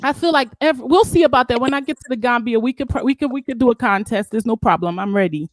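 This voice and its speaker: A woman from Haiti on a group call, talking in an animated, playful way and laughing often. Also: on the high side, fast, no room sound. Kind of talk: casual group discussion.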